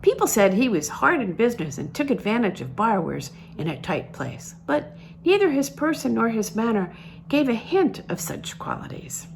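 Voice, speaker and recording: A woman reads a literary story aloud in a mid-pitched voice that moves up and down a great deal. She phrases it expressively, with emphasis on key words. Faint noise sits behind the recording. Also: gravelly, darkish tone. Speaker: audiobook reader. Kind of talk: literary fiction read aloud.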